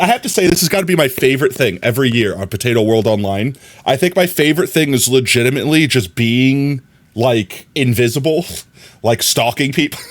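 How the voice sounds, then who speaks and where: A young man streaming live, chatting casually to his viewers with a slightly nasal voice, rambling and often giggling. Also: medium pitch.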